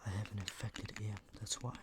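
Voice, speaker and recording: A young man speaking in a soft ASMR half-whisper, unhurried and rambling, with a slightly sore, flu-worn voice. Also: on the low side, little pitch movement. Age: young adult. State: mildly sick, recovering from flu, sore voice. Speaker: ASMR video host. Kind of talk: ASMR, soft-spoken product show-and-tell. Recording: noticeable background noise with clicks.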